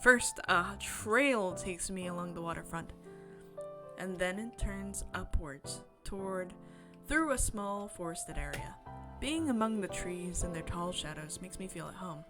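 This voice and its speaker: A woman game streamer expressively reading a visual novel aloud, breaking into excited, chatty asides. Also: lowish voice, a little slow. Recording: music behind the voice. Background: game music.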